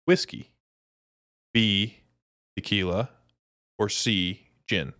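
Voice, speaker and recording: A man co-hosting a podcast with casual, informal banter, thinking out loud as he talks. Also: lowish voice, drawn-out words, dry recording, studio quality. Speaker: podcast co-host. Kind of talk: casual sports and trivia banter.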